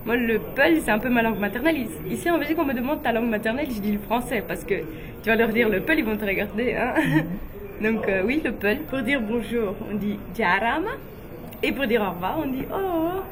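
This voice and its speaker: A young woman from Guinea telling personal stories in a relaxed, chatty way, with frequent fillers and light laughs. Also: fairly high pitch, fairly quick, a crowd in the background. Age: young adult. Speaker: interviewee, beauty pageant runner-up.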